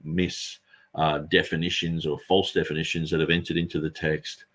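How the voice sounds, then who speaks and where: A man speaks in a deep, slightly husky voice. He answers at length in an earnest, rambling way and often restarts his sentences. He is a street preacher explaining his Bible translation work. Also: flat delivery, moderate pace, fairly bright tone. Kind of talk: conversational interview answer.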